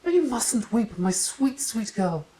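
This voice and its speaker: Gushing eccentric aunt, high-pitched, melodramatic, overbearing, storybook character. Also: male voice, big pitch swings, unhurried, warm tone, exaggerated voice, rough recording. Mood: dramatic.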